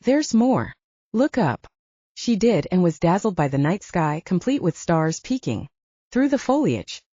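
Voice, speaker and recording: A woman's voice: lowish voice, sing-song, normal speed, warm tone, no room sound, highs cut off. A woman reading a romance novel aloud as a scripted audiobook narrator.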